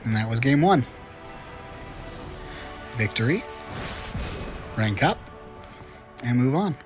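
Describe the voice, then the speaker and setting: A man's voice: mid-range voice, lively intonation, takes it slowly, music in the background. A chatty, upbeat man hosting casual gameplay commentary with frequent fillers, a little congested from a cold. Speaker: gaming channel host.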